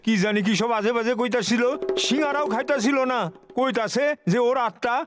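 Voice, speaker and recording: A man's voice: extremely high pitch, brisk. A man voicing an exasperated, bewildered cartoon friend who complains in an eastern Bengali dialect. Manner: complaining, flustered. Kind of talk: animated cartoon dub acting. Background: loud music.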